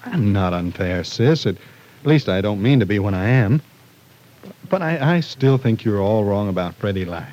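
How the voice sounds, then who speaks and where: A man's voice: fairly low pitch, animated, moderate pace, a little mellow, lightly acted, low-quality recording. An actor playing a young woman's skeptical, protective brother in an old-time radio drama, blunt and doubting. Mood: conceding.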